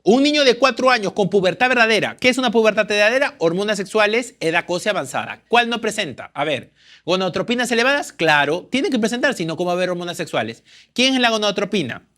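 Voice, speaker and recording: A man's voice: high voice, lively intonation, fast talker, bright, forceful tone. A man tutoring medical students, walking through exam questions and answering his own questions.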